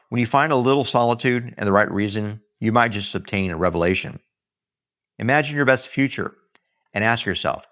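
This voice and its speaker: A man reading his own book aloud in an audiobook style, earnest and deliberate, with dramatic emphasis and pauses. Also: fairly low pitch, a bit bright. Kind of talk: audiobook-style scripted narration.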